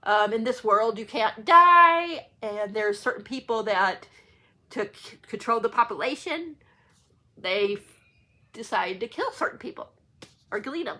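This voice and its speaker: A woman's voice: fairly high pitch, animated, a little slow, bright, forceful tone. A chatty woman book vlogger talking casually and unscripted to viewers, rambling and correcting herself as she goes. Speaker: book vlogger hosting a read-along.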